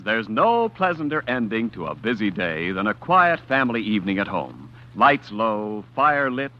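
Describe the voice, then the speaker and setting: A polished male announcer delivers an old radio commercial with smooth persuasion. His pitch swings widely and cheerfully. The sound is dull and lo-fi, as on a 1940s broadcast. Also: bright, forceful tone.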